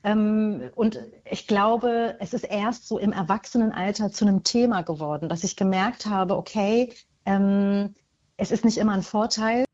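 A middle-aged woman with a soft-edged, mellow voice speaks in an interview. She is reflective and often hesitates, repeating words to underline them. The recording is a little dull. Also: medium pitch, moderate pace. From Germany. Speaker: interviewed book author. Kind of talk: conversational interview answers.

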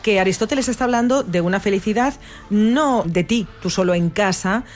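A woman's voice: mid-range voice, a little mellow. Woman host summing up points. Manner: measured, recapping the guest's points. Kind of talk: radio talk, summing up.

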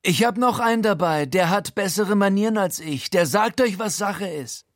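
A man's voice: high voice, expressive, moderate pace, no room sound, studio quality, pitched above the performer's own voice. A man voicing a brash, cheeky crook in an audiobook, cocky and slangy with mock-polite menace. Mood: cocky, threatening. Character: brash, cheeky male crook giving gleeful orders.

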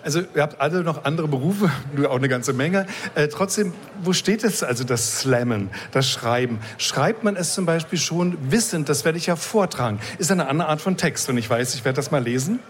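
A man's voice: a little high, lively intonation, moderate pace, few pauses. A man hosting a radio interview, rambling and often restarting his sentences. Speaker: radio host.

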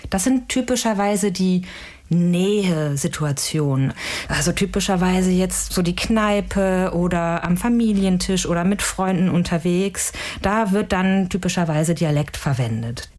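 A woman researcher answers interview questions in a somewhat low voice. She explains things carefully and analytically. She often walks through points with rhetorical questions. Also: a little slow, darkish tone. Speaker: language researcher, interviewed expert.

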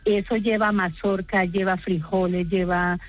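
A woman's voice: mid-range voice, steady tone, a little slow, no echo, narrow, tinny audio, soft background music. A middle-aged woman from Colombia with a Colombian accent, calling a radio show and chatting in talkative, detailed lists. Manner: talkative, detailed, listing things. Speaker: radio phone-in caller. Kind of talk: chatty explanation of home cooking.

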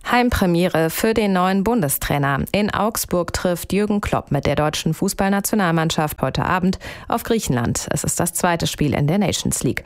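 A woman reading the radio news in a crisp, formal way from a studio. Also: lowish voice, animated, normal speed, few pauses, no room sound. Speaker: newsreader.

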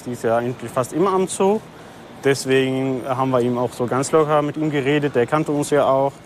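A man from a train security team talking casually about his work, relaxed and friendly. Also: medium pitch, brisk, a little hiss or hum. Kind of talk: casual talk on the job.

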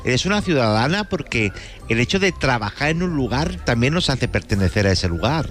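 A man's voice: mid-range voice, sing-song, fairly quick, a bit bright, soft background music. A man co-hosting a radio show who questions callers with enthusiasm. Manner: enthusiastic, inquisitive. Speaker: radio co-host.

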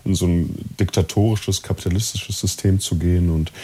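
Male interview guest, very low mellow voice, husky edge, reflective, halting personal storytelling. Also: fairly even intonation. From born in East Germany, partly raised in Chile. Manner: reflective, halting with repeated words. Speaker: interview guest, actor and coach.

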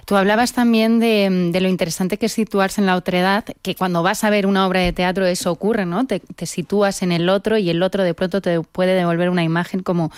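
A woman hosts a conversational interview about the arts. Her voice is clean and smooth at an average pitch, recorded very dry with no room sound. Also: steady tone, fairly quick, hardly any pauses, dry recording. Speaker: interviewer, host.

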